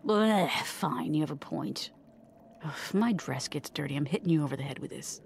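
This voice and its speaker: A woman playing a fussy, chatty castaway in a comedic audio drama, expressive, breezy and rambling. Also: low-pitched, moderate pace, gravelly, a little hiss or hum. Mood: grumbling. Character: fussy, chatty woman stranded in another world. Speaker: podcast narrator character.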